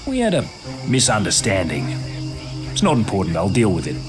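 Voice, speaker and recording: An actor playing a gruff, stubborn military captain, blunt and slangy, in a video game cutscene. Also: male voice, fairly low pitch, huge pitch swings, brisk, music in the background. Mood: curt.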